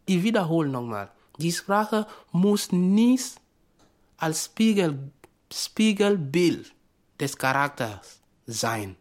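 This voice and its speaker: A school student, not a native speaker, giving a personal account in halting but emphatic phrases. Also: mid-range voice, big pitch swings. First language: not German.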